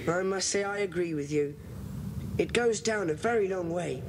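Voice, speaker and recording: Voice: medium pitch, close to an everyday voice. An actor playing a bossy, decisive schoolboy who leads child detectives in a children's audio drama. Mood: wary, decisive. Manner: brisk, decisive, organising. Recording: noisy old cassette transfer.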